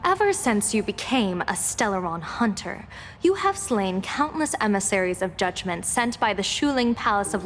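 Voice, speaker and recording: A woman playing a coolly composed female general in a game cutscene, speaking formally and with measured authority. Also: medium pitch, close to an everyday voice. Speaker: military general. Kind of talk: dramatic game cutscene acting. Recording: dramatic music behind.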